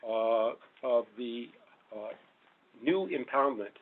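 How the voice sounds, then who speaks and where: A middle-aged man giving a panel talk as a professor, loud-voiced and hesitant, full of fillers. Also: mid-range voice, animated, takes it slowly. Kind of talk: public talk with slides. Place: meeting room. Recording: phone-like narrow audio.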